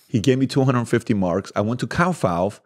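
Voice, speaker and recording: A man in his forties from Iran, a native Assyrian speaker, telling stories as a podcast guest in a studio, animated and joking. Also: medium pitch. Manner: animated storyteller, quick asides and self-deprecating jokes. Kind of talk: casual interview storytelling. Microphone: studio mic with headphones.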